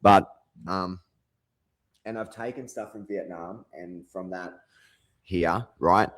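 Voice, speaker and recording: A man's voice: low-pitched, slow pace, a bit bright, no echo, rough recording. A man delivering a rambling, profane rant as a vlogger. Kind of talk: rambling monologue.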